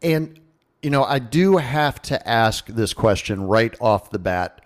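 Slow-talking male radio host. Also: mid-range voice, expressive. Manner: showman-like greetings, wry asides. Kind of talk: casual radio monologue.

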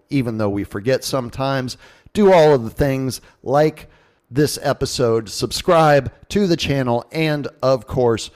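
A man's voice: medium pitch, a little mellow. A man hosting a podcast in a casual, jokey radio-show style with informal asides. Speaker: podcast host.

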